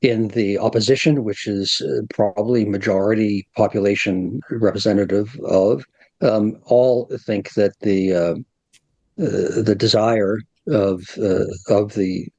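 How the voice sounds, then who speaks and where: A man speaking as a guest analyst, measured and plain-spoken with a wry edge. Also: fairly low pitch, fairly even intonation, vocal fry, darkish tone, no echo. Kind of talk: conversational analysis.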